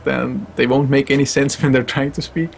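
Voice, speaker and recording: A man's voice: mid-range voice, flat delivery, moderate pace, gravelly, a little hiss or hum. A man from Estonia with an Estonian accent, an esports caster answering interview questions in a hesitant, self-conscious way.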